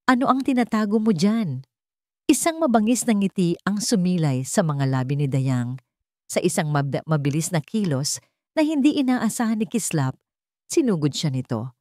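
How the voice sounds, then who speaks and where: A woman's voice: low voice, sing-song, moderate pace, warm tone, no room sound, studio quality. A woman reading a dramatic story as a scripted narration.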